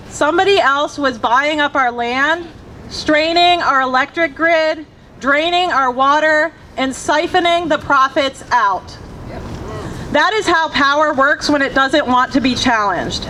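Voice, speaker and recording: High-voiced woman giving a fiery rally speech. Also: fairly bright tone. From Virginia. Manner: impassioned, rhythmic, building lists. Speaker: grassroots organizer. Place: outdoor rally. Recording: noisy outdoor rally.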